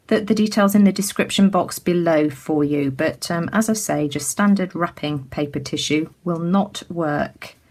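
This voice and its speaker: A woman from the UK giving a casual craft tutorial as she works, chatty and full of ums, her voice a little croaky. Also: on the low side. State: slightly croaky. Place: indoors at a craft table.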